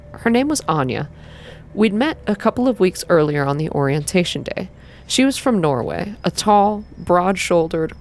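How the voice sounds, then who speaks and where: A woman with a fairly low voice narrates a visual novel in a measured, unhurried ASMR reading. She takes her time like a storyteller, with music playing behind her. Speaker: ASMR reader and game player. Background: background music.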